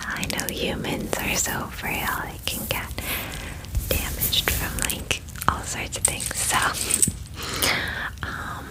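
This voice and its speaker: A woman voicing a friendly, chatty female dragon in a breathy ASMR whisper, gushing and reassuring like a best friend. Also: lightly acted, rough recording. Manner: gushing bestie talk, casual fillers, reassuring. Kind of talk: whispered ASMR roleplay, personal attention.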